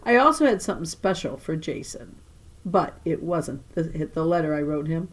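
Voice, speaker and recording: A woman reading a children's novel aloud like a teacher to her class, with animated storytelling and emphatic phrasing. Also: low-pitched, moderate pace. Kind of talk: expressive children's novel narration.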